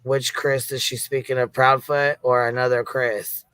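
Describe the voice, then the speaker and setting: Bright, flat-toned host, blunt and sweary. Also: male voice, medium pitch, normal speed, smooth, no echo, poor audio. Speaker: host of an open-panel livestream. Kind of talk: casual livestream chat.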